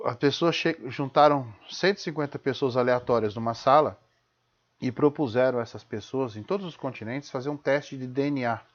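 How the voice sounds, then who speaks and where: A man with a Brazilian accent and a medium-pitched voice speaks as the host of an informal live talk. He is didactic and emphatic, often posing rhetorical questions and checking in with short tags. The audio is dull and low-fidelity. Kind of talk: informal spoken lecture.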